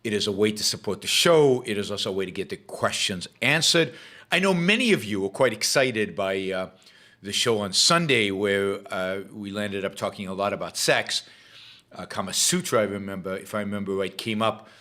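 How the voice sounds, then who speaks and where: A man hosting his talk show, hoarse from losing his voice, rambling with many fillers and restarts. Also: on the low side, expressive, moderate pace, sharp tone. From Israel. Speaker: show host. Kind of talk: casual livestream talk.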